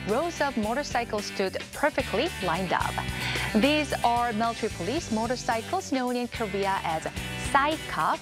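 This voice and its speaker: A woman reading a scripted news feature in a polished, even way. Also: on the high side. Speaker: news presenter. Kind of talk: scripted narration. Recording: voice-over with loud music bed.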